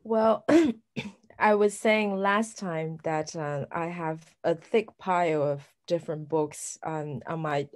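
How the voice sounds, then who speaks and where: A woman's voice: sits low, steady tone, takes it slowly. A female novelist guest talking about her reading, hesitant and searching for words. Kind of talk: casual podcast interview.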